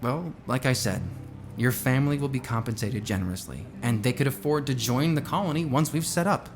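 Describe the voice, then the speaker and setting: A man's voice: mid-range voice, a little fast, music in the background, lightly acted. A man playing a confident, glib billionaire space colony founder in a naturalistic audio drama, talking in a casual, assured, persuasive way. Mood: earnest pitch. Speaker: voice actor in a musical audio drama.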